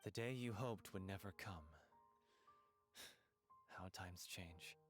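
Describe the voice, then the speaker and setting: A man playing an excitable, theatrical playwright in a video game, with music behind him. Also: low voice, slow pace. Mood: wistful.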